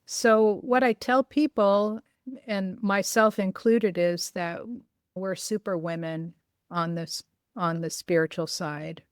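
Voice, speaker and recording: A woman's voice: medium pitch, steady tone, takes it slowly, smooth, no room sound, studio quality. A woman hosting a podcast, chatting casually and telling personal stories with enthusiasm. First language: bilingual in Greek. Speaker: podcast host. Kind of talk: casual interview chat.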